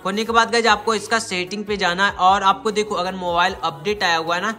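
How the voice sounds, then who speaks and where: A young man walks viewers through a tutorial step by step. His voice sits high and sounds bright and sharp. He speaks directly to his audience and often repeats himself, with music playing softly behind him. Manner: repetitive, addresses viewers directly. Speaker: tech tutorial YouTuber.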